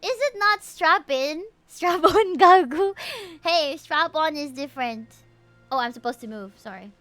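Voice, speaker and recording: A woman's voice: high-pitched, unhurried, faint music behind. A woman, reacting casually and expressively as she livestreams a game. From Philippines. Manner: expressive, exclamatory.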